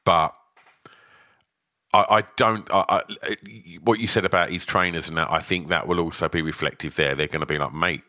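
Deep guy on a podcast, bright tone, dry close mic, lots of restarts. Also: steady tone, moderate pace, dry recording. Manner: hesitant, repeats words, casual swearing. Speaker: podcast co-host. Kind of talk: casual chat about fights.

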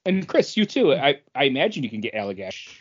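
Man, medium pitch, very varied intonation, casual co-host chat, slightly lo-fi sound. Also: very animated, quick pace, slightly rough. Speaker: podcast co-host.

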